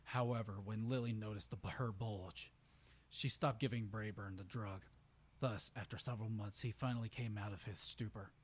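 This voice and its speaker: A man's voice: on the low side, fairly even intonation, moderate pace, husky. A man giving an amateur dramatic reading of fan fiction, stumbling over words and correcting himself. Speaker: story reader.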